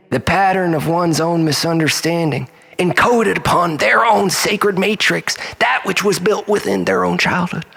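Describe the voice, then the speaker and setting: Rambling, unhinged storyteller acted by a man, higher-pitched voice. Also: creaky, fairly bright tone, slightly rough, exaggerated voice. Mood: brooding, rambling. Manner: rambling, mock-philosophical, preacher-like repetition, swearing. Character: unhinged, self-loathing male storyteller pleading with his lover. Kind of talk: rambling dramatic monologue.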